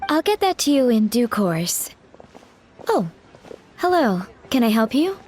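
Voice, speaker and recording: Composed, highish-voiced woman undertaker. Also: sing-song, measured pace, darkish tone, a little hiss or hum. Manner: composed, courteous, professional. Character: calm, polite woman undertaker at a funeral parlor. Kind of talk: video game voice acting.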